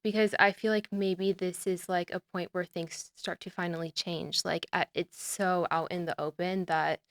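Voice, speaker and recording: A young woman from the United States speaks in a relaxed, earnest way as a podcast guest. Her voice sits a little low and sounds smooth and clear. The recording is close and dry. She leans on "like" a lot and often trails off and starts again. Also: normal speed, no echo. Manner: relaxed and earnest, heavy use of "like", trailing off and restarting. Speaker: podcast guest, olive oil brand founder. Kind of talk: casual interview conversation.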